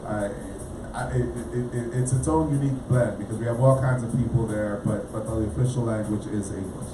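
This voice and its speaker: A Guyanese-born lawyer, a man, guesting on a radio talk show, speaking haltingly with many repeated words. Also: mid-range voice, steady tone, over music. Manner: halting, repeats words, spells things out slowly. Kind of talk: casual radio interview. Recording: echoey room, mic far off, noisy.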